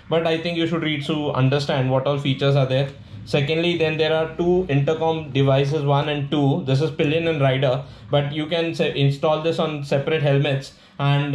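A man with an Indian accent casually presenting a product demonstration, explaining in a halting, repetitive way. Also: fairly high pitch. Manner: halting, explanatory, repeats himself. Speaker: vlogger presenting a product unboxing. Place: indoors at a tabletop. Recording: echoey room with faint background noise.

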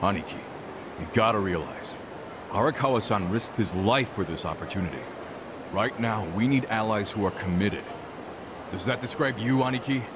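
An actor playing a blunt, nagging, loyal gangland younger brother in a dramatic video game cutscene. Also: male voice, fairly low pitch, moderate pace, noisy background, lightly acted. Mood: stern, pressing.

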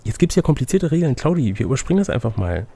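A man with a creaky, somewhat raspy voice at a medium pitch leads a gamebook adventure. He switches between storybook narration and playful, casual banter. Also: big pitch swings, racing, dark tone. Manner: playful, chatty asides between read passages. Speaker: game master reading a gamebook aloud.

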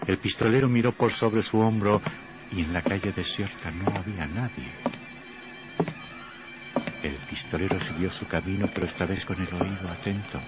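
A man's voice: deep voice, moderate pace. A man narrating a horror radio play in a theatrical, ominous, suspenseful manner. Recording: dull lo-fi old radio recording. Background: dramatic music.

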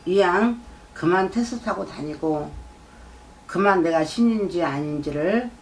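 A woman's voice: fairly low pitch, expressive, measured pace, a little hiss or hum. Lecturing middle-aged female shaman. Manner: lecturing, repeats herself, prompts with "eh?". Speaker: shaman fortune teller. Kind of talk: fortune-telling consultation. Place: shrine room with folding screen and drum. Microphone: far camera. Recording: distant mic, faint room ambience.

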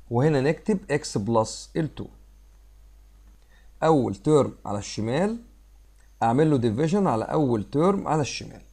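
A man with an Egyptian Arabic accent, a little hoarse from a cold, talks quickly through a math lesson. His voice is mellow and dark, and he explains each step while mixing English math terms into his Arabic. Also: mid-range voice. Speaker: math teacher. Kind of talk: screen-recorded math lesson. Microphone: voice-over on a screen recording.

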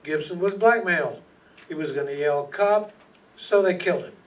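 A man's voice: on the high side, normal speed, close to an everyday voice. Older man playing a wry hardboiled detective, distant mic. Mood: confident. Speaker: amateur radio play actor. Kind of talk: hardboiled radio detective narration and dialogue. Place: small hall stage, indoors. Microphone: stand microphone, recorded by far camera. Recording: noisy, distant hall PA recording.

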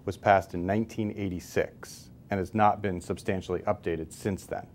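An American man in his forties with a fairly low voice explains things as an interview guest. He is conversational and leans on key words. He speaks into a lapel mic in a newsroom studio. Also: fairly even intonation, moderate pace, darkish tone, a little hiss or hum. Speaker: expert guest, civil liberties advocate. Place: newsroom studio, seated at table.

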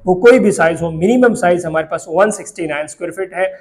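Young man, Pakistani Urdu accent, high-pitched voice, explains real estate deals to camera, office, lapel mic. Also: quick pace. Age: young adult. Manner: steady explanatory sales pitch, addresses viewers directly. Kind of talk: sales presentation to camera. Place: office with shelves, indoors.

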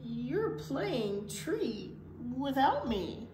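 A woman's voice: high voice, big pitch swings, very slow, a little mellow, pitched above the performer's own voice, heavily acted. A woman voicing an anxious, jealous male porcupine from a picture book in a dramatic, emphatic way. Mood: hurt, sulky. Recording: roomy library with faint noise.

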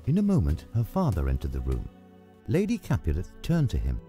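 A man reading a scripted story as narrator in a measured, dramatic way. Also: low voice, huge pitch swings, warm tone. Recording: music under the voice. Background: background music.

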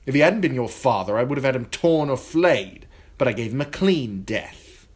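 A man voicing an arrogant, cruel young king who speaks in a loud, sneering, imperious way. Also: medium pitch, expressive, moderate pace, breathy edge, pitched above the performer's own voice. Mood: cold cruelty.

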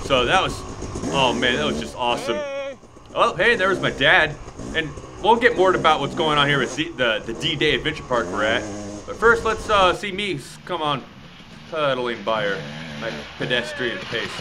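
A young man riding a dirt bike on a wooded trail, rambling excitedly about a race he rides in. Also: medium pitch, moderate pace. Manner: excited, rambling, cheering on riders. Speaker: motovlogger. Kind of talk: casual race motovlog commentary. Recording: helmet cam with loud engine noise.

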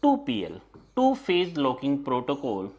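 A man's voice: medium pitch, wildly swooping pitch, measured pace, darkish tone, dull audio. A middle-aged man teaching an exam-prep lecture in a studio classroom, repeating key points and often addressing his students directly. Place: studio classroom at a digital whiteboard.

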